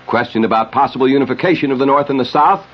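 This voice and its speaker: A man's voice: medium pitch, rapid-fire, slightly rough. An American man reading a scripted 1970s radio news bulletin on a dull vintage recording. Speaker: radio newsreader.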